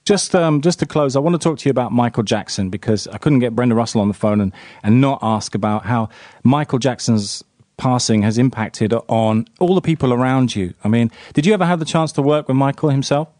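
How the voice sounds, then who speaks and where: A man's voice: mid-range voice, darkish tone, no room sound, clean studio sound. A man, hosting a radio interview in a chatty way, with many fillers. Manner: quick, chatty, hesitant fillers. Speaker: radio host.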